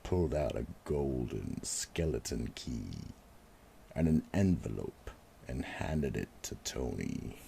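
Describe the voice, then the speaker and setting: A man narrating a horror radio drama and hosting the show, slow and ominous. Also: rumbling low, animated, vocal fry, dark tone, slight background noise. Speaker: narrator and host.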